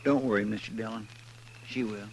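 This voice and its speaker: An actor playing a loyal, deferential American deputy in a 1950s radio western. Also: male voice, lowish voice, steady tone, a little fast, creaky. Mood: reassuring. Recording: very noisy 1950s radio recording.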